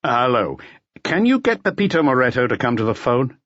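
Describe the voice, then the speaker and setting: A man's voice: mid-range voice, sing-song, a little fast, fairly bright tone, no room sound, highs cut off, pitched above the performer's own voice. A man voicing a placid, glum detective who puts short, clipped questions in an audiobook. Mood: terse, calm. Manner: terse, clipped questions and orders.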